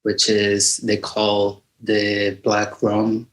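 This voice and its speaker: A middle-aged Brazilian man with a fairly low voice and a Brazilian Portuguese accent in his English. He is a singer-songwriter being interviewed, speaking conversationally and thoughtfully as he feels out his words. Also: completely flat delivery, extremely slow, a bit bright. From Bahia, Brazil.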